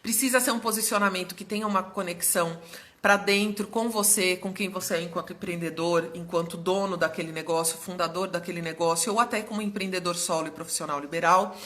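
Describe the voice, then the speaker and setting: A slightly hoarse young woman hosting a casual live stream as a business mentor, explaining things in a chatty way and often checking in with her viewers. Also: on the low side, fairly even intonation, a little fast, fairly bright tone.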